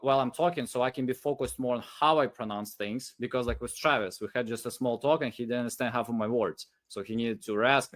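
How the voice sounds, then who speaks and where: A man's voice: mid-range voice, a bit bright. A man who speaks English as a second language, giving unscripted sales advice in a rambling, repetitive, emphatic way. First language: non-English first language.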